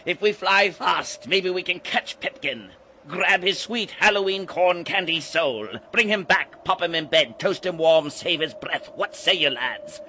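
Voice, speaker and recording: An actor playing an eerie, grandiose guide to death and Halloween in an audio drama, swinging between hushed and booming delivery. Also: male voice, a little slow, a little hiss or hum. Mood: coaxing, sly. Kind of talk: full-cast audio drama acting. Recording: loud music under the voice.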